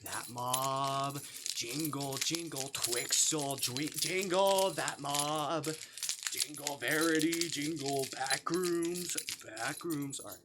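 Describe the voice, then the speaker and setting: A man's voice: a little high, slow pace, heavy background noise. A man hosting a casual gaming livestream, rambling and often repeating words and phrases in singsong bursts. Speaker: livestream host.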